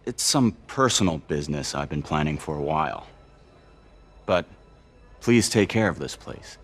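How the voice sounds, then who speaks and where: An actor playing a grave, gentle, secretive boss in a video game, soft-spoken and solemn. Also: male voice, rumbling low, expressive, normal speed, slightly rough, faint music behind, heavily acted.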